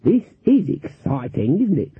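A man's voice: on the high side, very animated, unhurried, slight background noise. A man voicing an old woman witch who plays at sweet innocence, in a fluttery, dithering way. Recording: thin phone-like sound.

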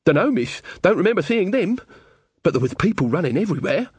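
A man's voice: high voice, sing-song, a little fast, a little mellow, husky, no room sound, voice pushed up, exaggerated voice. A man voicing a frightened, simple village man for a storybook, with hesitant, deferential, rustic speech. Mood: bewildered, nervous.

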